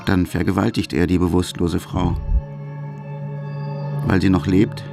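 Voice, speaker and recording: Gravelly, lowish male documentary narrator. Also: little pitch movement, unhurried. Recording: music under narration.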